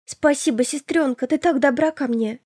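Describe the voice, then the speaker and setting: A woman's voice: high-pitched, normal speed, a little mellow, no echo, studio quality, voice pushed up, lightly acted. A woman voicing a naive, sweet, lovestruck younger sister of twenty in an audiobook, with a plaintive, gushing manner. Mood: delighted, grateful. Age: young adult. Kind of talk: audiobook character dialogue.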